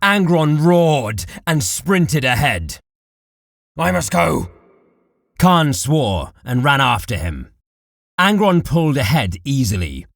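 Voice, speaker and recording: A man narrating dark war fiction as a scripted audiobook, in a deliberate, dramatic manner. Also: mid-range voice, big pitch swings, dry recording, studio quality.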